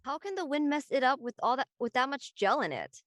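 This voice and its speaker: A young woman playing a practical seventeen-year-old high school girl in an audio play, casual and matter-of-fact. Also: big pitch swings, brisk, no room sound, clean studio sound. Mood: teasing, matter-of-fact. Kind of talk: conversational acting in an online audio play.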